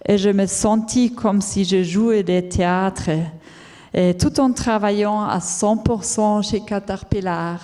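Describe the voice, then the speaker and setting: A woman who is not a native French speaker tells her own story as a conference talk, careful and halting, with many fillers and restarts. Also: medium pitch, mellow. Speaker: entrepreneur giving a conference talk. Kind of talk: personal story told as a talk. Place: large lecture hall. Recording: long reverb of a large hall.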